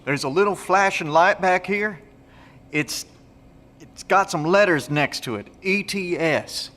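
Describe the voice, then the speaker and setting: Man acting as slow, bright-voiced folksy country companion. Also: high-pitched, big-room sound. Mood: curious. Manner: folksy, informal. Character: plainspoken country companion, male, curious, good-natured, practical. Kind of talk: audio drama acting.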